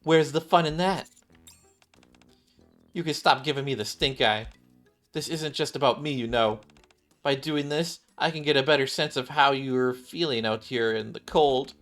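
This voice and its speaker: An eager young woman survivalist from a video game, read out by a man. The rookie is proud and quick to defend herself, talking on with chatty overconfidence. Music plays behind the voice. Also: mid-range voice, fairly bright tone, close to an everyday voice. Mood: boastful, insistent.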